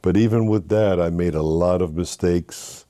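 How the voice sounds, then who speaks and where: An older man from Haiti, a guest telling his life story with earnest faith, with halting restarts. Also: deep voice, dark tone, dry recording, clean studio sound. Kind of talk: interview, personal story.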